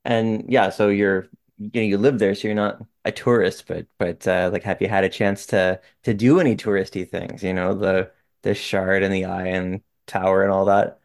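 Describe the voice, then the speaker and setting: A male interviewer with a low, gravelly voice. He talks casually and haltingly, filling gaps with "um" and "you know". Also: little pitch movement. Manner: hesitant, many "um" and "you know".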